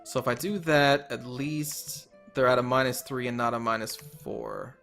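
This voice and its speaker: A man commentating his own strategy game turn, thinking aloud and correcting himself, a little hoarse from losing his voice. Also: mid-range voice, expressive, normal speed, fairly bright tone, faint music behind. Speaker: let's player.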